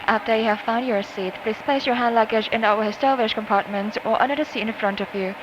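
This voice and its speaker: A woman's voice: fairly even intonation, fairly quick. A woman working as a flight attendant reads a scripted inflight announcement over an aircraft cabin PA. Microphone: cabin PA system heard through speakers. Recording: muffled cabin PA with loud engine noise. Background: loud aircraft cabin rumble.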